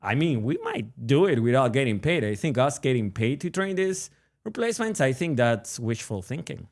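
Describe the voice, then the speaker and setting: A man's voice: mid-range voice, big pitch swings, moderate pace. Laid-back Argentine-accented man joking around. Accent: Argentine Spanish. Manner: joking, rambling, frequent restarts and laughs. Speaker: podcast co-host. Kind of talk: casual chat.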